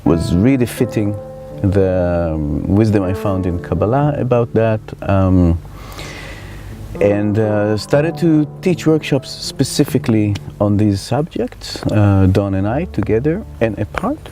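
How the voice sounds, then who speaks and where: A middle-aged man from Israel with an Israeli accent, telling his life story in a reflective, halting way as he searches for words. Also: lowish voice, expressive, music in the background. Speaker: spiritual teacher. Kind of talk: personal life story told to camera.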